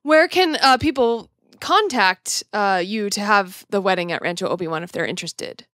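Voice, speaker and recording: A woman's voice: medium pitch, expressive, moderate pace, a bit bright, dry recording, studio quality. A woman co-hosting a podcast and chatting casually with an interview guest.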